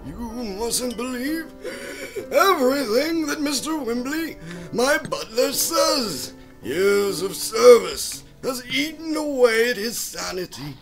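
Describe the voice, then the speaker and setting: A bitter, imperious old rich man on his last legs. The voice is extremely high and slow, full of haughty theatrical scorn. It suits a comic radio drama. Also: very animated, music in the background, exaggerated voice. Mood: dramatic, bitter. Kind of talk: comic radio-play acting.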